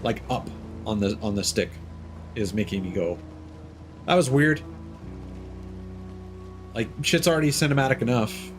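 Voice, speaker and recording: A man's voice: mid-range voice, measured pace, long pauses. A man streaming a video game, giving rambling, offhand commentary with lots of fillers and casual swearing. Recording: game music playing behind the voice. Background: game soundtrack guitar music.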